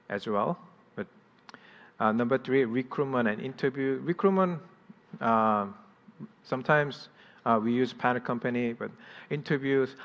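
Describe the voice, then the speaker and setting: A man's voice: medium pitch, a bit bright. A man with a Korean accent, whose first language is Korean, giving a conference presentation in English with many fillers and restarts. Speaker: conference speaker. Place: conference hall. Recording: dull audio in a hall with long reverb.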